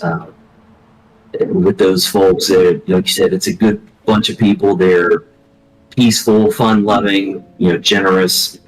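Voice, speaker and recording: A man's voice: deep voice, low-quality recording. A man from Alabama with a thick Southern accent, chatting easygoingly as an interview guest and padding his speech with "uh" fillers. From Alabama, southern United States. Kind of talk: casual interview chat.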